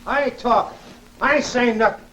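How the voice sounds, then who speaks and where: An actor playing a surly small-time crook under questioning in a 1940s film serial, with an American accent and rough streetwise speech. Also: male voice, very high-pitched, a little fast, bright, forceful tone, muffled recording, a little hiss or hum. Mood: surly, defiant. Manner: streetwise, ungrammatical tough talk. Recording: old 1940s film soundtrack, distant mic.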